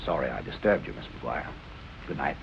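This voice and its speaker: An actor playing a calm police captain in 1940s film noir style, questioning in a measured, politely insistent way. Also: male voice, a bass voice, creaky, background noise, exaggerated voice. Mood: calmly reassuring.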